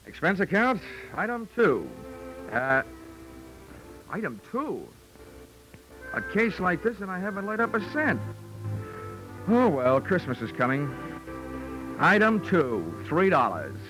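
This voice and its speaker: 1940s radio detective, American male: sardonic, punchy, lively intonation, on a vintage recording. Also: high-pitched, slow, long pauses, music in the background, exaggerated voice, poor audio. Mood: sardonic, brisk. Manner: dry, sardonic, punchy delivery. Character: wry, money-minded hard-boiled male insurance investigator, narrating.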